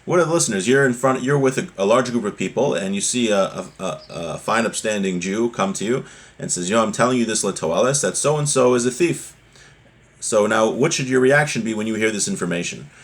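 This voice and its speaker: A man's voice: fairly low pitch, animated, fairly quick, breathy edge. A man giving a religious lesson, reading from a text and explaining it conversationally with rhetorical questions. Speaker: religious teacher.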